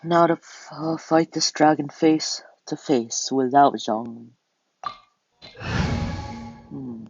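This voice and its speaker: A man's voice: a little high, drawn-out words, creaky, long pauses, breathy edge, muffled recording. A man giving casual gameplay commentary, muttering and exclaiming slangy reactions as he plays. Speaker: gamer. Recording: loud game music behind voice.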